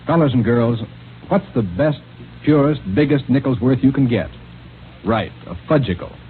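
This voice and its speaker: A male announcer enthusiastically delivering a scripted 1930s radio pitch to children. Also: animated, normal speed. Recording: scratchy, tinny old radio recording.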